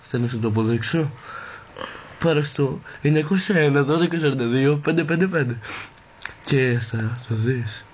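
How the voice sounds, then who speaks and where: A man's voice: mid-range voice, lightly acted. A man voicing a sassy, vain, vulgar woman in an amateur comic parody dub, with campy, exclaiming delivery. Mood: defiant. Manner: campy, swooping, crude, exclaiming. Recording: muffled, noisy home recording.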